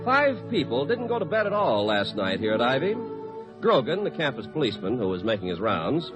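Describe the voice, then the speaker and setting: American male radio announcer. Polished, scripted delivery, big pitch swings, loud music behind. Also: sing-song, a little fast, poor audio. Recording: old radio broadcast over loud music.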